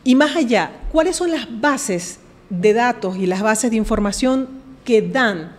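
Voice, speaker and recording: Reverberant, slightly high-pitched woman. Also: lively intonation, unhurried. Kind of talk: posing formal questions on current affairs. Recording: long reverberant hall sound.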